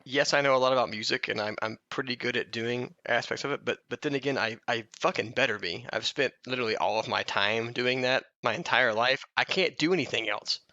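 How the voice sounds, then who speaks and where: Male podcast co-host, bright forceful voice, blunt self-deprecating banter, slightly muffled recording. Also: mid-range voice, a little fast, hardly any pauses, no echo. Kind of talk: casual joking banter.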